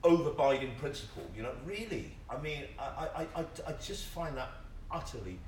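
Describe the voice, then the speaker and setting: A man's voice: mid-range voice, big pitch swings, darkish tone. A man on a discussion panel speaking informally and emphatically, repeating words as he argues his opinion. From family from Singapore. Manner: emphatic, halting repetitions, exasperated opinion. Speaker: panellist, actor. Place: panel discussion in a theatre venue, microphone at a distance. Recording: distant roomy live-stream audio, faint noise.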